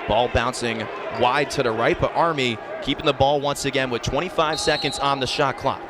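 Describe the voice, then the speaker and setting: A man's voice: mid-range voice, steady tone, moderate pace. A man doing live lacrosse play-by-play on radio as a sportscaster, describing the action urgently. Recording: very loud crowd and arena noise. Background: loud game crowd.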